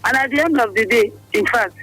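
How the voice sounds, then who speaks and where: High-voiced Nigerian man phoning in. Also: fairly quick, noisy background. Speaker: phone-in caller. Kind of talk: casual radio call. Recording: telephone line into radio broadcast.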